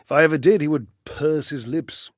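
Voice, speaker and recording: An actor playing a flamboyant, lovelorn household-object character in a video game, theatrical, flighty and verbose, with dramatic pauses. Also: male voice, a little high, steady tone, dry recording, clean studio sound, lightly acted. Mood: fond, confiding.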